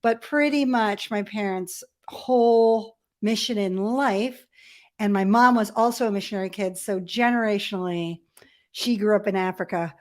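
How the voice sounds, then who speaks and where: A middle-aged woman from Ethiopia, telling personal stories as an interview guest in a candid, animated way with self-deprecating humour. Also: mid-range voice, moderate pace. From grew up in Ethiopia as a missionary kid. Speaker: podcast guest. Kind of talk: conversational personal storytelling.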